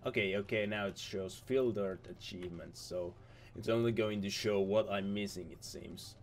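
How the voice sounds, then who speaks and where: A young man, a little hoarse from losing his voice, giving casual rambling commentary over game footage, repeating himself and restarting words. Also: lowish voice, moderate pace, soft background music. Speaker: gaming video host.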